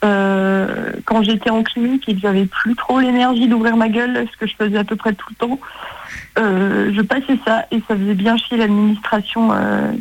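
A woman's voice: fairly high pitch, fairly even intonation, moderate pace, poor audio. A woman chatting casually as a caller to a radio show, heard over the phone. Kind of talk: casual chat.

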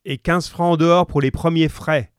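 A man's voice: on the high side, steady tone, normal speed, clean tone, no room sound, studio quality, voice pushed up, close to an everyday voice. Man playing a grasping innkeeper, raised voice. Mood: curt, demanding. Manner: blunt, demanding. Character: grasping, curt male innkeeper, calling out.

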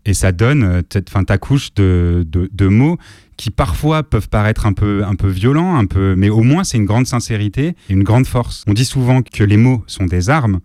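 Low-voiced relaxed male host. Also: normal speed, no echo, studio quality. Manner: relaxed, conversational, admiring. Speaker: radio show host. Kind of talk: interview conversation.

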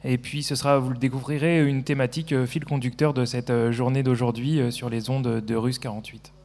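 A man hosts a live radio talk, easing in slowly with plenty of hesitations. His voice has a gravelly, creaky edge. The sound carries a long, echoey reverb. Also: mid-range voice, little pitch movement, a little mellow. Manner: hesitant, many filler sounds. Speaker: radio host.

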